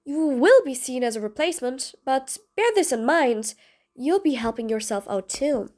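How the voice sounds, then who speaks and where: A woman's voice: sits high, expressive, normal speed, a little mellow. A woman voicing a cool, blunt female supervillain in an audio roleplay, talking in clipped, commanding, sardonic lines. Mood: persuasive. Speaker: voice actor. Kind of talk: audio roleplay, one side of a dialogue.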